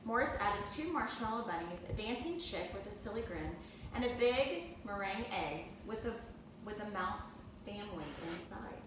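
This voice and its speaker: A woman's voice: mid-range voice, expressive, moderate pace. A woman, a teacher, reads a children's storybook aloud in a narrating style. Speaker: second grade teacher reading aloud. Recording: echoey room, distant mic, clear background noise.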